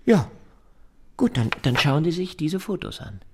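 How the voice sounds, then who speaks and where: A man's voice: a little high, very animated, measured pace, a little hiss or hum. An actor playing a persistent, meticulous man of about forty in a radio drama, speaking formally and insistently. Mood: insistent, pedantic. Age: 40s.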